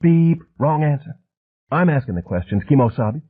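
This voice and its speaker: A man voicing a jittery, menacing middle-aged thug with a soft, almost feminine voice and a hint of a refined Southern accent, jabbering fast on a muffled cassette recording. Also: a little high, expressive, slightly rough, pitched above the performer's own voice. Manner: jabbering quickly, as if on speed. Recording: muffled, phone-like old cassette audio.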